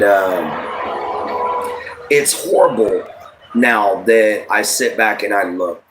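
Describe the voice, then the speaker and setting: Man, late thirties, American accent, lowish pitch, livestream host, rambling opinionated chat, talks straight to listeners. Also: fairly bright tone, slight background noise, low-quality recording. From United States. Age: late 30s. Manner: addresses listeners directly, rambling and repetitive.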